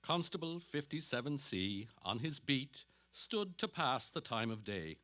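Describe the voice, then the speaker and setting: Man reading a novel out loud dramatically, bright voice, really animated. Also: medium pitch, a little slow. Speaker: actor reading aloud. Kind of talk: dramatic literary narration.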